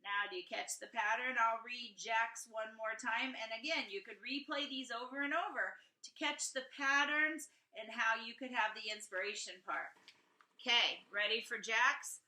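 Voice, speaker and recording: A woman teaching through a read-aloud, talking straight to young listeners in an encouraging way. Her voice is strikingly bright and a little high, with unhurried pacing, heard in an echoey room. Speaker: teacher reading aloud to students. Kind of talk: expressive instructional read-aloud.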